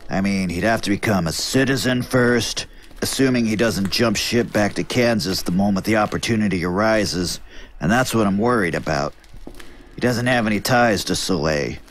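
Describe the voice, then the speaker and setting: An actor as very deep-voiced skeptical young prince. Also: male voice, moderate pace, close to an everyday voice. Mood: concerned, dry. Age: young adult. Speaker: actor in an audio drama. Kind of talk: audio drama dialogue.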